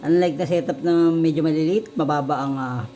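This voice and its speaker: A Filipino vlog host casually showing gear, mixing Tagalog and English in a halting way and often tossing small questions to viewers. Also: mid-range voice, mellow, soft background music. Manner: Taglish code-switching. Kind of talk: casual chat, product showcase.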